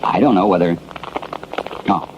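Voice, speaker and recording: An actor playing a rattled, weary radio newsman who gropes for words, in a 1970s pseudo-documentary radio drama. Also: low voice. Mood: weary, faltering. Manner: halting, faltering, groping for words. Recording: muffled, noisy old 1970s radio recording.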